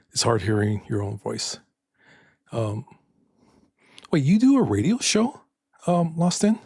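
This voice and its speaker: An older man with a raspy voice hosting a live stream in casual chat, soft-spoken and halting with many fillers. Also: medium pitch, dry recording, clean studio sound.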